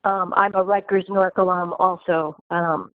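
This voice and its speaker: A woman's voice: lowish voice, steady tone, normal speed, no room sound. A woman university administrator speaking in an online meeting, reassuring and repetitive with many fillers, her throat scratchy. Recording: dry tinny phone-like audio.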